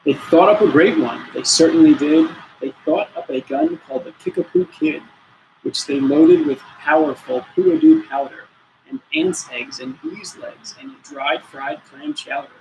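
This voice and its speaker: Man reading a storybook, higher-pitched, noisy recording. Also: very animated, unhurried, darkish tone, lo-fi sound. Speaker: storybook reader. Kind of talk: expressive children's story read-aloud.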